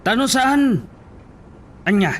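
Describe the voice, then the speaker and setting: A man's voice: very high voice, expressive, takes it slowly, fairly bright tone, recorded from a distance, slight background noise, heavily acted. A man with an Ilocano accent playing a stubborn, defiant young police lieutenant in a radio drama. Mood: defiant, angry.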